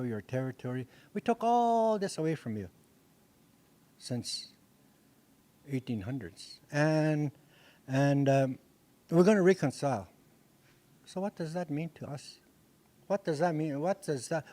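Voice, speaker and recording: Older man teaching, earnest, halting. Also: medium pitch, darkish tone. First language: Dakota. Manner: earnest, repetitive, with frequent restarts. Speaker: Dakota language teacher. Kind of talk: classroom lecture. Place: indoor meeting room. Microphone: handheld mic.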